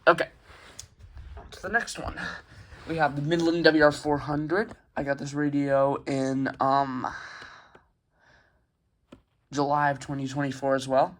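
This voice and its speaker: A man's voice: a little high, level tone, a little slow, long pauses, fairly bright tone. Young man casually showing off his collection. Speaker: hobbyist reviewer. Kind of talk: casual product showcase. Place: carpeted room at home.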